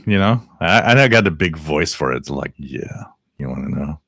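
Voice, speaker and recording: A man's voice: rumbling low, expressive, normal speed, creaky, fairly bright tone, no echo, studio quality. A man hosting a live shortwave radio stream, joking, laughing often and reading out frequencies. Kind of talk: casual live-stream chat.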